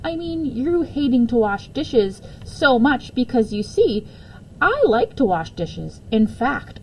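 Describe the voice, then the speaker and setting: A woman's voice: a little high, a little mellow, slight background noise, low-quality recording. A woman voicing a kindly, playful woman who loves make-believe in a children's story, with a theatrical manner.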